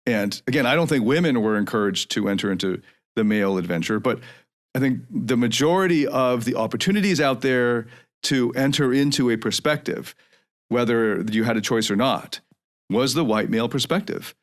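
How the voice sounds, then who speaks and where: A man's voice: medium pitch, expressive, moderate pace. Reflective man in his forties, thinking aloud. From North America. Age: 40s. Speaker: podcast host. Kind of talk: solo podcast monologue.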